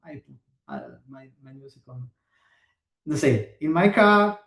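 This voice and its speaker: A man's voice: a little high, sing-song, fairly bright tone. Hesitant Italian man, echoey room. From Italy. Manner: halting, searching for English words. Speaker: guest musician. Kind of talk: casual interview chat.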